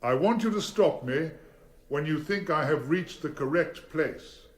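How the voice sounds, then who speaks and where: An actor playing a formidable barrister in a courtroom radio drama, probing witnesses in a deliberate, theatrical and insistent way. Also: male voice, a little high, animated. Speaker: defence barrister.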